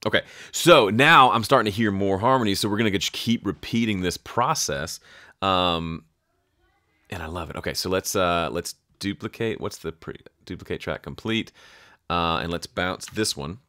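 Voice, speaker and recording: A man giving a casual, hands-on software tutorial, thinking aloud and improvising as he goes. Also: low voice, normal speed, no echo, clean studio sound. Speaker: music production tutorial host.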